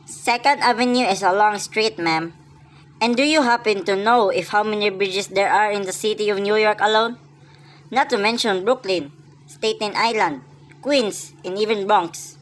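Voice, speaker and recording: A woman's voice: medium pitch, moderate pace, natural voice, low-quality recording. A woman playing an unimpressed, patronizing city police officer in a radio play, placating and dismissive. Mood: skeptical, weary.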